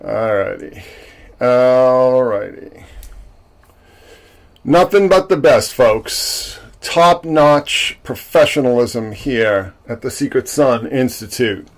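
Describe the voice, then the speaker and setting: A man hosting a livestream in casual chat, a self-described loudmouth with a big loud voice who jokes and greets viewers by name. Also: mid-range voice, lively intonation, slow. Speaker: livestream host.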